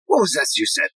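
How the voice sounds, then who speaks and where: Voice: mid-range voice, gravelly, fairly bright tone, breathy edge, no room sound, full character voice. An actor playing a pompous, absent-minded, muddled police inspector in a farcical audio play. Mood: self-important, muddled.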